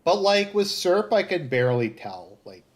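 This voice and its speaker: A man with a Southern Ontario Canadian accent, chatting casually as a livestream host in a halting, rambling way that sounds like thinking aloud. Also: high voice, big pitch swings. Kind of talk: casual chat, live commentary.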